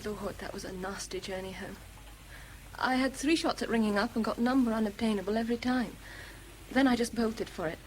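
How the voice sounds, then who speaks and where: An actress as a high-pitched English girlfriend in a radio play, anxious and devoted, quick to blame herself. Faint noise sits behind her voice. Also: close to an everyday voice, rough recording. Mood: contrite, tired. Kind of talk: radio drama acting.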